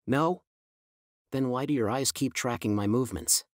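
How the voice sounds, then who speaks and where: A man voicing a menacing young male hitman who speaks in a low, taunting, insinuating way. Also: moderate pace, dry recording, studio quality, natural voice.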